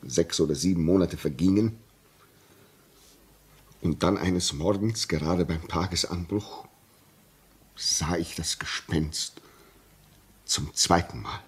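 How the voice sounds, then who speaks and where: Deep, husky, haunted signalman. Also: exaggerated voice. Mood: haunted recounting. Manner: slow, halting, repeats words for emphasis. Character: haunted railway signalman, grave, shaken, insistent man. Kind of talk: German radio play acting, dramatic storytelling.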